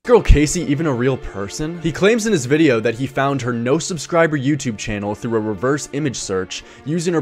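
Sarcastic man narrating, music underneath. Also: mid-range voice. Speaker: video narrator.

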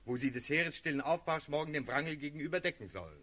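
An actor playing a down-to-earth, teasing officer and confidant in a classical radio play, speaking in a brisk, matter-of-fact way. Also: male voice, on the high side, expressive, sharp tone. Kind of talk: classical German stage verse in a radio play.

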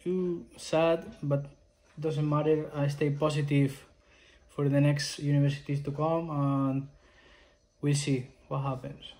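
A man's voice: a little high, level tone, slow pace, mellow. A young man from Spain talking casually to camera in a vlog, hesitant, with many fillers and restarts. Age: 20s. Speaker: vlogger. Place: small room indoors.

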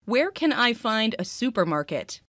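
Lively higher-voiced woman reading phrases. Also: dry recording, studio quality. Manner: clear, deliberate, each phrase repeated three times. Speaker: dialogue reader for English learners. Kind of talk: slow scripted phrase repetition.

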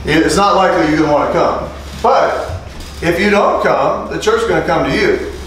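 A middle-aged man gives an animated teaching sermon, firing questions at his listeners and drawing amens back. His voice sits fairly high. The sound is very roomy and slightly distant, with steady noise underneath. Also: moderate pace. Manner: animated, calls for amens, asks the room questions. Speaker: preacher teaching street preachers. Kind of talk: lively interactive sermon. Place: small indoor meeting room. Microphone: lapel mic.

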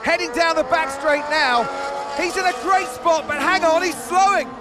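A man playing an excitable TV motor racing commentator, calling the action with dramatic, urgent projection. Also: very high-pitched, moderate pace. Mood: excited, dramatic. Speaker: sports commentator. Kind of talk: race commentary. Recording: music and engine noise behind.

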